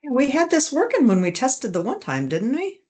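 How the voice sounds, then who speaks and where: A woman hosting an online pitch meeting, welcoming panelists in an upbeat manner. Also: wildly swooping pitch, moderate pace, darkish tone. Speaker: meeting host.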